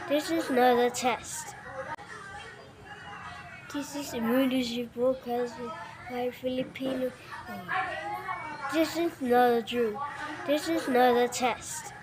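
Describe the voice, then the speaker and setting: A woman's voice: sits high, fairly even intonation, takes it slowly, long pauses, noisy background. A woman reading a scripted emergency broadcast alert in short, stilted, repetitive phrases.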